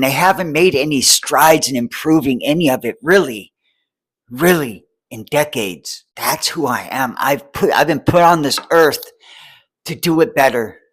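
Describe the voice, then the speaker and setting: A man's voice: mid-range voice, fairly bright tone. A man in his forties speaking earnestly and with determination in a rambling vlog monologue, restarting phrases and often asking if the listener follows. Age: 40s. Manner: rambling and repetitive, many restarts. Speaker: vlogger and homelessness advocate. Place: Seattle.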